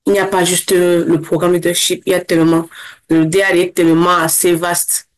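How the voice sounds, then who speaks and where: A young woman from Cameroon, a guest in an interview, speaking casually and fast, with many restarts. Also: lowish voice, flat delivery. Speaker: interview guest, former scholarship holder.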